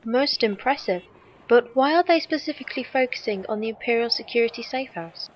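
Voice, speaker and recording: A woman playing a polite, analytical ally in an audio drama, measured and formal. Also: fairly high pitch, animated, lo-fi sound. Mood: impressed, curious.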